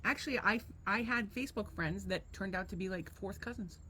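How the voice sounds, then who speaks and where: A woman's voice: medium pitch, brisk, bright, forceful tone, a little hiss or hum. A woman co-hosting a casual podcast with her husband, blunt and rambling, with plenty of swearing. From Portuguese descent from the Azores. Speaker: podcast co-host. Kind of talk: casual chat.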